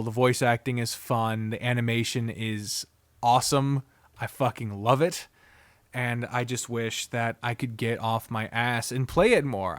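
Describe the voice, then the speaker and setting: A man's voice: medium pitch, clean tone, dry recording. A man giving a casual, unscripted video review as a streamer, rambling candidly and swearing freely. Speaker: visual novel streamer and reviewer.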